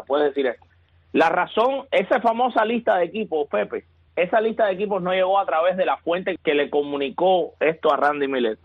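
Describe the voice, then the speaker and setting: A man talking baseball on a sports radio show, emphatic and building excitement as he argues his point. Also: sits high, dry recording. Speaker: baseball analyst. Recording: tinny phone line.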